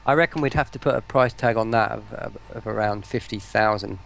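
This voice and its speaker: A man's voice: lowish voice, fairly quick, creaky, a bit bright. A man speaking as a guest in a live stream interview, explaining things in a careful, hedging way. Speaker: guest, voice pack maker.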